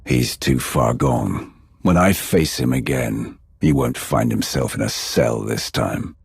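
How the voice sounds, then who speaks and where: A man voicing a grim, resolute Sith warrior in a video game cutscene, with a gravelly, husky voice and a terse, commanding manner. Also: very deep voice, slow pace, dry recording, clean studio sound, exaggerated voice. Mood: grim resolve.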